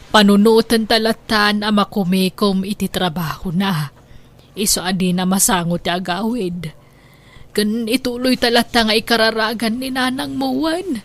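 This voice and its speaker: A woman's voice: mid-range voice, natural voice. A woman playing an anxious, devout and loving grandmother in a radio drama, with a tender, lamenting manner.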